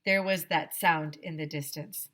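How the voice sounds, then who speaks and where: Slow, bright-voiced woman reading a children's story. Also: low voice, fairly even intonation. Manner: dramatic, emphatic storytelling. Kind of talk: expressive storybook read-aloud for children.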